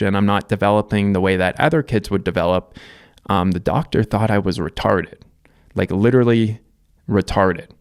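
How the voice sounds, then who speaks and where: Low, smooth-voiced Canadian man. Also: no room sound, clean studio sound. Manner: reflective, loose, lots of fillers and restarts. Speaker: vlogger. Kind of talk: casual life-story monologue.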